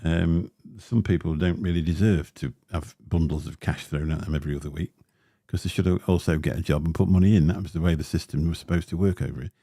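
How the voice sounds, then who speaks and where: A man's voice: fairly even intonation, fast talker, vocal fry, hardly any pauses, dark tone, slightly rough, no room sound, studio quality. A man, hosting a casual livestream and rambling through chatty asides with lots of fillers. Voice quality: deep voice. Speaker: livestream host. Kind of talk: casual livestream chat while unboxing gear. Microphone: dynamic studio mic close up.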